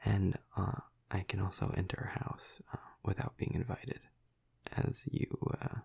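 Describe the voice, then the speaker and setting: A man as a low, gravelly, half-whispering vampire lover. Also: flat delivery, full character voice. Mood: sheepish, amused. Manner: slow, hesitant, soft, soothing. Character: flirty, protective male vampire, high-ranking in his clan. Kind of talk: intimate audio roleplay, spoken to the listener.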